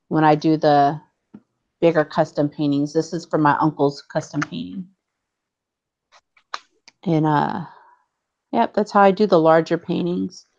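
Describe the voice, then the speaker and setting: A woman's voice: lowish voice, a little mellow, muffled recording. A woman hosting a livestream, chatting casually while she works on a craft and laughing often. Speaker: livestream host.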